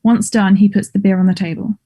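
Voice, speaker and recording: A woman narrates stage directions from a script. Her voice is mellow and warm, at an average female pitch. She delivers each direction in a plain, matter-of-fact way. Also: little pitch movement, fairly quick.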